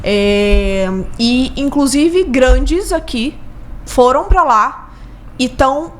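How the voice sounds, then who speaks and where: A woman's voice: sits high, expressive, very slow. A Brazilian woman speaking casually as an interview guest, emphatic and repeating key words for stress. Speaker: podcast guest, business consultant.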